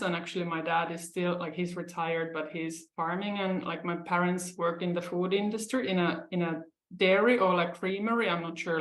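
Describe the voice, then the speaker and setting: A woman's voice: fairly low pitch, roomy sound. A woman from Finland co-hosting a casual chat, talking hesitantly with many fillers. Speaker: podcast co-host.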